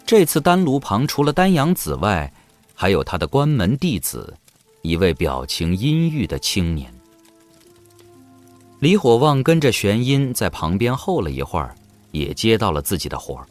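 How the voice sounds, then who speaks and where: A man's voice: fairly low pitch, sing-song, normal speed, soft background music. A man reading scripted, dramatic narration for a fantasy audio drama.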